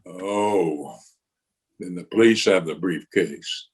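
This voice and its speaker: A man playing a troubled, sheepish married engineer in a radio detective drama, speaking in a hesitant, measured way. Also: sits low, breathy edge, heavily acted. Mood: worried, hesitant. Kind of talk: old-time radio drama re-creation.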